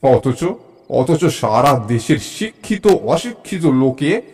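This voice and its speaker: An actor in an audio drama playing a skeptical, opinionated gentleman who speaks with deliberate, mocking contempt. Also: medium pitch, sing-song, heavily acted. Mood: mocking, ranting. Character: skeptical, scornful Bengali gentleman, contemptuous of holy men.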